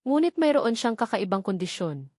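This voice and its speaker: A woman voicing a gentle, discreet older woman in an audiobook story, measured and soft-spoken, with a Filipino accent. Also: on the high side, very animated, no echo, studio quality. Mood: calm, reassuring.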